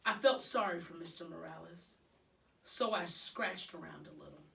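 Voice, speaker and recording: A woman reading a children's storybook aloud as an expressive narrator. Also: fairly low pitch, moderate pace, creaky, distant mic. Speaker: audiobook reader.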